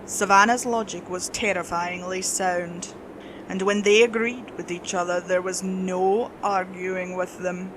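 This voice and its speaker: A woman's voice: on the low side, fairly even intonation, a little slow, noisy background. A woman reading a scripted horror story as its narrator.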